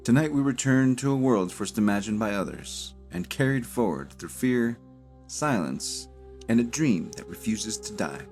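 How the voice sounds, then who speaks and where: A man hosting and narrating a cinematic audio drama in dramatic, measured short phrases with weighted pauses. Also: lowish voice, expressive, darkish tone. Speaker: host and narrator. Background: music.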